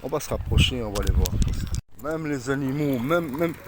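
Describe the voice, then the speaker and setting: A man filming himself on a phone outdoors near his home, rambling and agitated, rising to shouted accusations. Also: mid-range voice, lively intonation, brisk. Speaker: vlogger. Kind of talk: rambling phone-filmed rant. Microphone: phone camera. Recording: roomy distant outdoor phone recording with loud ambient noise.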